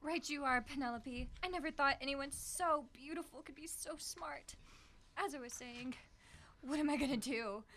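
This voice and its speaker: A woman's voice: high voice, moderate pace, fairly bright tone. A woman playing a fawning, fretful factory worker in a campy radio comedy. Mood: fawning, distraught. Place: small radio studio.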